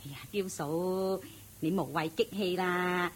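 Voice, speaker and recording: A woman playing a chatty, effusive middle-aged neighbour auntie in a radio drama, coaxing and quick to chuckle. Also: lowish voice, fairly even intonation. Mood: curious, coaxing. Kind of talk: Cantonese radio drama acting.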